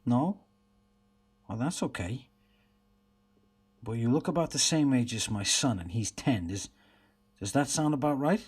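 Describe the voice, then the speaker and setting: A man playing a weary, patient detective in a radio play, with a husky voice. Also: medium pitch, expressive, unhurried, pauses often, darkish tone. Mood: gentle, coaxing. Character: weary male detective and father, patient questioner. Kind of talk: radio drama dialogue.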